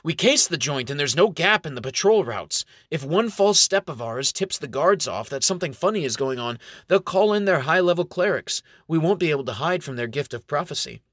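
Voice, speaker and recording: A man's voice: expressive, brisk, few pauses, a bit bright, dry recording, studio quality. A man voicing a skinny, boastful male elf magician in a raised, theatrical voice. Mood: eager. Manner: high, eager, theatrical.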